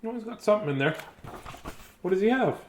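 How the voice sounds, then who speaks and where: A man's voice: sits high, animated, fast talker, a little mellow, a little hiss or hum. A man reading a children's bedtime story aloud expressively, with playful, theatrical delivery and chatty, casual asides.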